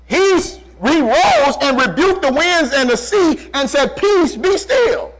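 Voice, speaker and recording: Guy preacher w a really high voice, fired up, repeats himself n throws questions at the congregation. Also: expressive, takes it slowly, a bit bright, low-quality recording. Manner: emphatic, repeats phrases, rhetorical questions. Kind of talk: fervent call-and-response sermon.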